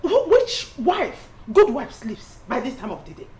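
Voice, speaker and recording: High-voiced, volatile Nigerian mother-in-law. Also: wildly swooping pitch, a little slow, a little mellow, slight background noise, exaggerated voice. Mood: angry scolding. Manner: scolding, repeating demands, emotional. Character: volatile, domineering mother-in-law, torn between scolding and tenderness. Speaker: actress. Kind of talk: melodramatic film drama acting. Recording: music bed under dialogue.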